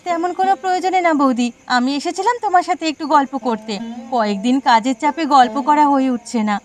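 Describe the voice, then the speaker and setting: Woman voicing a high-pitched eager village neighbour. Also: quick pace, darkish tone, lightly acted. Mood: eager, admiring. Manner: gushing, chatty. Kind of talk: cartoon voice acting.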